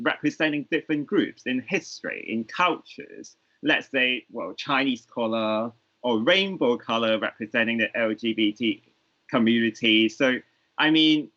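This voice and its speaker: A man from Hong Kong, a guest on a video-call panel, explaining his points in a measured, thoughtful way. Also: mid-range voice, pushed and bright. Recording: muffled call audio.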